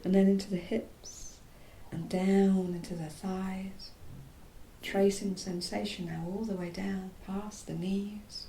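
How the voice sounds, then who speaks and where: A woman leads a guided body relaxation in a mellow voice with a gravelly creak. She speaks softly and soothingly, leaving pauses mid-phrase and letting endings go breathy. The room is echoey. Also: level tone. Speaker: yoga nidra teacher. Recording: roomy echo, faint low rumbling noise.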